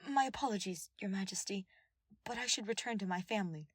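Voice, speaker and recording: Woman playing a gentle, deferential young nobleman. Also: medium pitch, fast, husky, no echo, studio quality, voice pushed up, close to an everyday voice.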